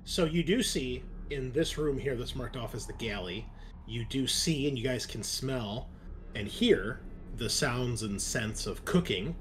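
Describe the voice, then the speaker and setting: A man's voice: mid-range voice, lively intonation, measured pace, a crowd in the background. A man improvising narration as game master of a tabletop game in a conversational way. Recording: faint background noise.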